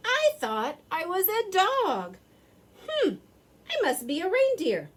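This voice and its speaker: A woman's voice: very high-pitched, huge pitch swings, takes it slowly, pitched above the performer's own voice, heavily acted. A woman voicing a small, earnest female dog in a children's storybook, with a wondering, sing-song manner. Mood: puzzled, wondering. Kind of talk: children's storybook character reading.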